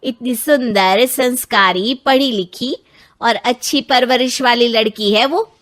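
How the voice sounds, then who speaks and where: A woman's voice: a little high, measured pace, fairly bright tone. A woman voicing a bossy, proud middle-aged village mother-in-law in a cartoon story, emphatic and insistent.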